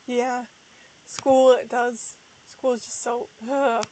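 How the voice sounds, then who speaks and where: A young woman with a hoarse, tired voice, chatting casually and rambling as a streamer answering viewer questions. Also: fairly high pitch, little pitch movement, takes it slowly, warm tone, rough recording. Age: young adult. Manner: rambling, self-deprecating, frequent restarts. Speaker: VTuber streamer. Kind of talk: casual livestream chat.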